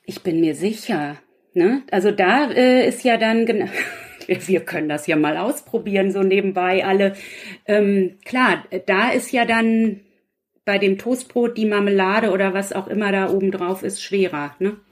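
A woman's voice: medium pitch, moderate pace. A woman speaking as a guest author in a casual conversation, chatty and self-deprecating. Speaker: guest author and quiz writer. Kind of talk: casual chat.

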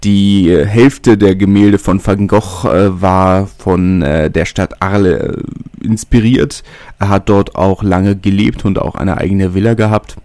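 A man's voice: low-pitched, steady tone, measured pace, darkish tone, no room sound. A man hosting a solo podcast, talking casually and thinking aloud with frequent hesitations and fillers. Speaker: podcast host.

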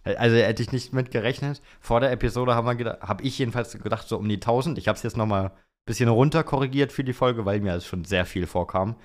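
A man's voice: mid-range voice, fast talker. A young man co-hosting a podcast, chatting informally with youthful slang. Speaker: podcast co-host. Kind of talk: casual podcast chat.

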